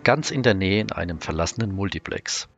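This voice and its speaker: A man's voice: low-pitched, a little fast, gravelly, highs cut off. A man reading scripted dramatic narration for a German audio drama. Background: music.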